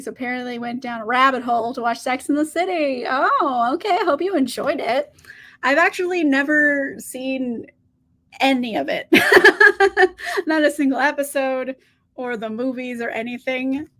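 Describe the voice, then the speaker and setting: High-voiced chatty woman, scratchy today. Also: fairly bright tone. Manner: chatty, upbeat, laughs often. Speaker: book channel host. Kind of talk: casual livestream chat, reading out viewer comments.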